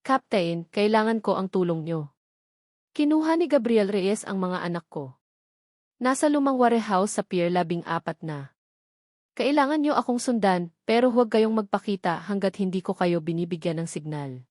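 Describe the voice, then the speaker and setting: A woman's voice: mid-range voice, animated, moderate pace, a little mellow, no echo, natural voice. Woman playing protective father, Filipino accent, emphatic, resolute, dramatic storybook delivery, dry recording. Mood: urgent, resolute. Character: determined, protective father fighting for his children.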